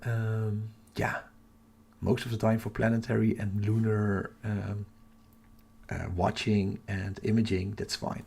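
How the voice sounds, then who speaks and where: Hushed, creaky-voiced man livestreaming. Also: level tone, slow. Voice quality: hushed, half-whispered. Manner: keeping voice low for sleeping household. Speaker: astronomy livestream host. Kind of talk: casual live chat with viewers.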